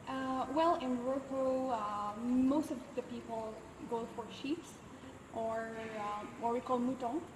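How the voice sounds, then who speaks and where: A young woman in her twenties, a student, answering interview questions in a relaxed way with frequent pauses. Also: on the high side, far from the mic. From United States. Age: 20s. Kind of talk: casual explanatory interview answers. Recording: echoey hall from afar, background noise.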